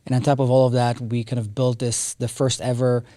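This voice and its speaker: A man's voice: medium pitch, flat delivery, fairly quick, dark tone, no echo. A man in his thirties with an Israeli accent, a company co-founder explaining things step by step as a podcast guest. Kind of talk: podcast interview.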